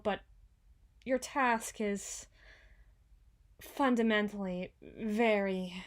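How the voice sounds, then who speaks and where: A woman playing a proud but insecure company boss in an ASMR roleplay, speaking formally and wordily with flustered stammers. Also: medium pitch. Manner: formal, wordy, haughty yet flustered, stammering. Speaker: voice actor.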